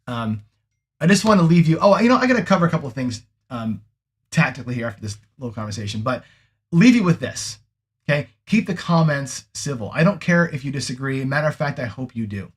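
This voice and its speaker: A middle-aged man chats loosely on a live stream, drifting between stories and advice. He restarts his sentences and keeps checking in with "right?". His voice sits at an ordinary pitch and carries a light echo from the room. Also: sing-song, fairly quick. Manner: rambling, with frequent restarts and "right?" tags. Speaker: host. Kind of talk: casual live-stream chat. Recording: echoey room, mic off-axis.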